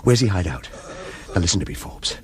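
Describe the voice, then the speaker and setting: An actor playing a bitter, driven male investigator in a radio drama, terse and insistent, with halting repeats. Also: low-pitched, big pitch swings, crowd noise. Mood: low, urgent.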